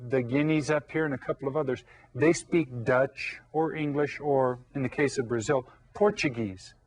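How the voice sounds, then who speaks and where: A man teaching a Spanish lesson to young children, explaining patiently and repeating key words. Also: a little high. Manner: patient, explains slowly with repetition.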